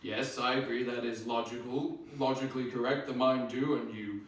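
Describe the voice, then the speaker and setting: A man's voice: mid-range voice, fairly even intonation, normal speed, slight background noise. A man performing a live spoken-word comedy set, rattling through chaotic, rambling wordplay and acting out both sides of an argument. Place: live event venue on stage. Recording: very echoey room, mic at a distance.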